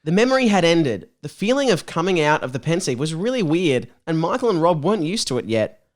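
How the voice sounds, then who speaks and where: A man with a high voice and very lively intonation hosts a comedy podcast. He moves between reading a silly story aloud and casual chat, jokey and prone to giggles. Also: fairly quick. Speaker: podcast host.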